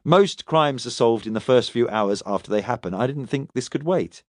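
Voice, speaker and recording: A man's voice: mid-range voice, lively intonation, moderate pace, no echo, close to an everyday voice. A man performs a stubborn male suspect in an audiobook. He answers tersely and keeps himself controlled and defiant under questioning. The recording is close and dry. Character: guarded, stubborn Englishman, taxi driver under police questioning.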